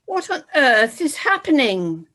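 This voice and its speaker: A woman's voice: high voice, big pitch swings, a little slow, a bit bright, pitched above the performer's own voice, heavily acted. Woman voicing a troubled Roman soldier. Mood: distressed. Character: troubled male Roman soldier, uneasy and conscience-stricken.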